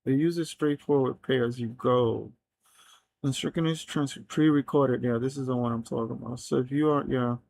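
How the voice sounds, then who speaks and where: A man whose first language is Spanish talks through an app plan on a live coding stream. His delivery is halting, full of restarts and unfinished phrases. He sits at a mid pitch for a man, and the close, dry sound has almost no room in it. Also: fairly even intonation, creaky, darkish tone, no echo. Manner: halting, reads plans aloud with many restarts.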